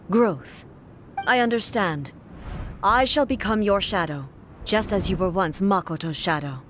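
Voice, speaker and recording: A woman playing a stern, formal warrior goddess in a video game, speaking in a measured, solemn way. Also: on the low side, slight background noise. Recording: music behind the voice.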